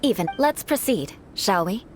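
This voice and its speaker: A woman's voice: medium pitch, expressive, moderate pace, lightly acted. An actress playing a haughty, composed young noblewoman knight in a video game, formal, poised and condescending. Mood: stern, exacting. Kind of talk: video game character acting. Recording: game music behind the voice. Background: background music.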